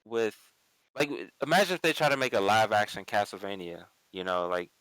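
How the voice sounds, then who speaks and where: A man with a fairly deep, clean voice in a very dry recording. He argues casually as a panelist, leaning on repetition to drive his opinions home. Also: expressive, brisk, a bit bright, no room sound, low-quality recording. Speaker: panelist on an online pop culture show. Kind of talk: casual opinionated group discussion.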